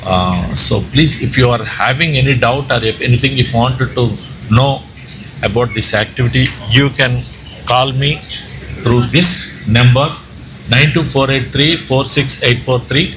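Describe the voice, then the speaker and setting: A man with an Indian Telugu accent giving an informal slide presentation, speaking haltingly with repeated words and self-corrections. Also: mid-range voice. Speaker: presenter, amateur radio operator and trainer. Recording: echoey room with background noise.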